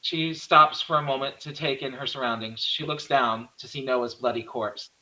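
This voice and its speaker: A man's voice: mid-range voice, steady tone, normal speed, pushed and bright, poor audio. A man narrating stage directions from a script at a virtual table read.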